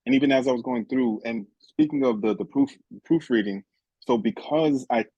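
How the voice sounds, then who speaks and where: A man's voice: medium pitch, normal speed, warm tone, breathy edge, dry recording, highs cut off. A man from Haiti whose first language is Haitian Creole, an author talking reflectively in an interview and repeating words as he thinks. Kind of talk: podcast interview.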